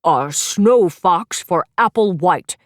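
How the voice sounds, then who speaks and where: A woman doing a slow, booming bear professor. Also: lively intonation, no echo, clean studio sound.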